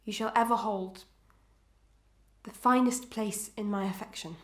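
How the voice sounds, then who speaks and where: A woman playing an anguished, proud and dutiful wife in a Victorian melodrama, with formal archaic diction and fervent, deliberate delivery. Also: medium pitch, fairly even intonation, reverberant, lightly acted. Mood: formal, strained. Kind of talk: old-fashioned melodramatic stage acting.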